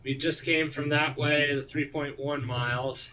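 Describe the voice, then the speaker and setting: A man's voice: on the high side, steady tone, measured pace, pushed and bright. A man narrating a travel vlog outdoors in an offhand way while on the move. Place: outdoors on a trail.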